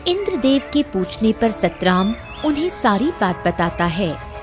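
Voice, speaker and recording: A woman's voice: mid-range voice, big pitch swings, unhurried. A woman reading scripted narration for a children's moral story. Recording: clear background music. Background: music.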